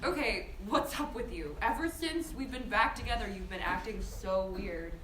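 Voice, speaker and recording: A woman playing a clingy, upbeat high school girlfriend in a screenplay table read. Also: animated, moderate pace, fairly bright tone, natural voice. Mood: puzzled. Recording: echoey room, distant mic, faint noise.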